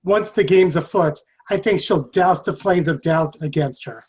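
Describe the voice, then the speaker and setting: Guy reading as a loyal french soldier in an old war play, earnest, measured, bit husky. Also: fairly high pitch, darkish tone. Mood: earnest faith. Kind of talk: stage play table read.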